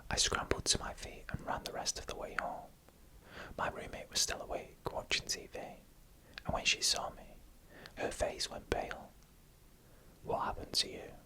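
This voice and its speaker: A narrator whispering scary stories in ASMR style, slowly and deliberately, with pauses between short phrases. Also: bright, forceful tone.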